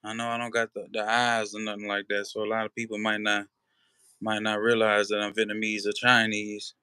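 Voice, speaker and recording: A man's voice: fairly low pitch, completely flat delivery, a little fast, bright, forceful tone, clear, resonant tone, no room sound, studio quality. Laid-back man chatting on a livestream. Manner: laid-back, slangy, many "you know" fillers. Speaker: livestream host. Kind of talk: casual livestream chat.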